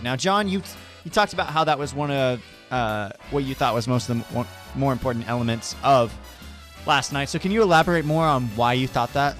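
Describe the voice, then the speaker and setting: A man's voice: a little high, fairly bright tone. A man hosting a radio show in an informal, conversational style. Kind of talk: casual radio chat.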